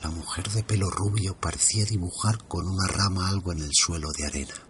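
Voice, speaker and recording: A man narrating a first-person horror story for his podcast in a hushed, suspenseful way, often sinking into whispers. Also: low-pitched, fairly even intonation, fairly quick, noisy background.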